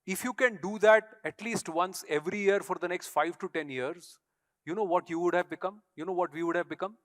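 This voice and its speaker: A man's voice: sits high, fairly quick, fairly bright tone. A man with an Indian accent, a native Tamil speaker, giving an emphatic motivational stage speech full of rhetorical questions to an audience. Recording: echoey hall.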